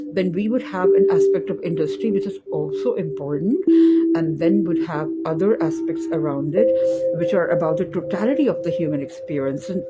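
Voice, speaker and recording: A woman, giving a reflective spoken talk in a thoughtful, unhurried way, with frequent fillers and restarts. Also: fairly low pitch. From Pakistan. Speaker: spiritual teacher giving a video talk series. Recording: muffled lo-fi sound with loud music behind.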